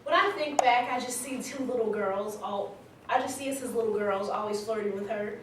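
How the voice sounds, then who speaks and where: Actress as candid young woman, pretty high voice, chatty stage monologue, super echoey, distant mic, bit of hiss. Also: normal speed, lightly acted. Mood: candid, excited. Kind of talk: staged reading monologue, conversational storytelling. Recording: very echoey hall, distant mic, faint noise.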